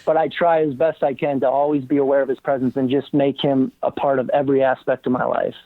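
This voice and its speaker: A man's voice: mid-range voice, flat delivery, quick pace, no echo. A man, a college basketball coach, speaking earnestly as a guest, with many ums and restarts. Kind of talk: casual interview talk. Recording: tinny phone-like line, dry.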